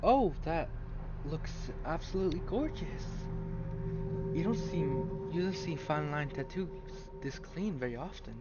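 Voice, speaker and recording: A man's voice: fairly high pitch, lively intonation, unhurried, over loud music, pitched above the performer's own voice. A man voicing an eerie, over-friendly young woman stranger who speaks with insistent, sweet familiarity in a visual novel. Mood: admiring. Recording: muffled lo-fi mic with loud noise.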